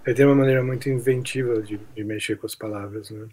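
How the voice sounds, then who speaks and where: A man's voice: fairly low pitch, steady tone, fast, mellow. A man with a Brazilian accent talking casually and reflectively on a podcast, sometimes hesitating as he searches for words. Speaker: podcast host. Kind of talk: casual chat about literature.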